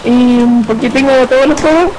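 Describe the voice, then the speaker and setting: A young woman with a high voice chats eagerly as she plays a co-op game with friends. Loud game music sits behind her. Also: moderate pace, low-quality recording. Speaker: guest gamer. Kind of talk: casual gaming chat.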